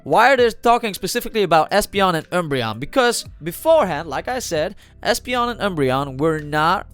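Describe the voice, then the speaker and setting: Lively nasal man with a cold. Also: high-pitched, moderate pace, no room sound, faint music behind. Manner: thinking aloud with many fillers and restarts. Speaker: trading card channel host. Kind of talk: casual video commentary.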